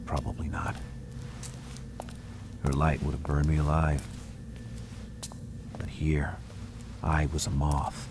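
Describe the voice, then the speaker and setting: A brooding, haunted narrator speaks in an extremely deep, flat monotone. His delivery is slow and somber, now and then sinking to a whisper. Clear ambient noise sits behind the voice. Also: heavily acted. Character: brooding, haunted man lost in a dark mansion. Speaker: game protagonist narrator. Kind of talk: scripted game narration.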